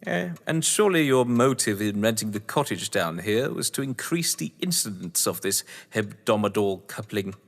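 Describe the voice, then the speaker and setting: A man's voice: on the low side, lively intonation, moderate pace, creaky, few pauses. Guy doing a posh sardonic english crime writer for a radio play, swoopy and condescending, big echoey reverb. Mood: mocking politeness. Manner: arch, wordy, condescending. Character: suave, sardonic upper-class English crime novelist husband. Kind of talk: theatrical audio drama dialogue.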